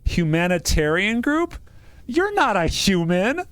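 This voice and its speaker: A man's voice: high voice, big pitch swings, measured pace, a bit bright, clean tone, dry recording. A man doing a casual let's-play, reading game narration aloud and joking about the text as he goes. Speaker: game streamer reading a visual novel aloud.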